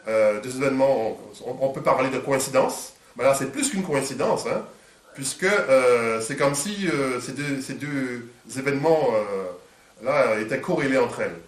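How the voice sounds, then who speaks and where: A man interviewing, halting with many fillers and restarts, a little stuffed up from a cold. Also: mid-range voice. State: congested from a cold. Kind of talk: hesitant conversational interview. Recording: echoey room, distant mic.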